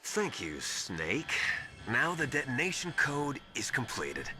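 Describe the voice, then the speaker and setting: A man's voice: medium pitch, wildly swooping pitch. A man playing a mocking, theatrical villain and evil twin in a video game, savouring each menacing word. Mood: smug. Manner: mocking, drawn-out, menacing delivery. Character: theatrical, gloating male villain, twin brother of the hero. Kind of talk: video game cutscene voice acting.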